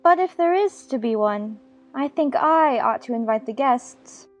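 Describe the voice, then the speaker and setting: Prim little girl, acted by a woman, high-pitched, earnest and argumentative, storybook drama, slightly muffled sound. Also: animated, unhurried, faint music behind.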